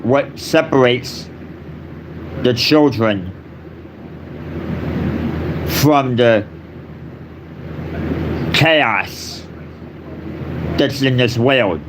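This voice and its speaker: A man delivering a solemn, improvised personal address in short, repetitive phrases with long pauses between them. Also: medium pitch, background noise. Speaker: speaker giving a personal address.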